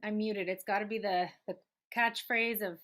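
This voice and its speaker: A woman's voice: mid-range voice, steady tone, normal speed, fairly bright tone. A woman co-hosting an online arts show in an informal, chatty, effusive and welcoming way.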